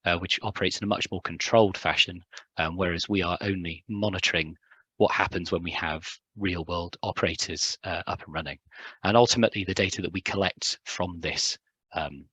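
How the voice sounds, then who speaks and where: A young man, a hydrogeologist, giving a conference talk in a hoarse, recovering voice, hesitant with many ums and restarts. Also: deep voice, fairly bright tone, no echo. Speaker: hydrogeologist presenting at a symposium. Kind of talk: conference talk with filler words.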